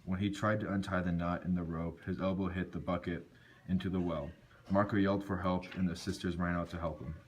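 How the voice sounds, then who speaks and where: A man's voice: low voice, fairly even intonation, a little fast. A man reading scripted storybook narration for an amateur puppet show. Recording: noisy amateur recording with clicks.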